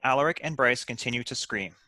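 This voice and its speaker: A man's voice: mid-range voice, fast, pushed and bright. A man reading stage directions aloud as scripted narration for a comedy script.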